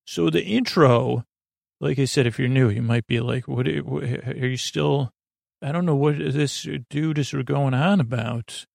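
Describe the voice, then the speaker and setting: Male sleep-podcast host, mid-pitched and gravelly, wandering and self-interrupting, soft-sell and apologetic, very dry close sound. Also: dry recording. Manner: meandering, halting, self-interrupting. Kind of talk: rambling bedtime sleep-podcast monologue.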